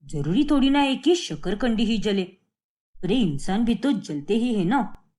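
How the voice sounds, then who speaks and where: A woman's voice: a little high, sing-song, unhurried, darkish tone. A woman voicing a middle-aged father in a cartoon story, sarcastic and firm, with a theatrical, taunting manner. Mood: mocking.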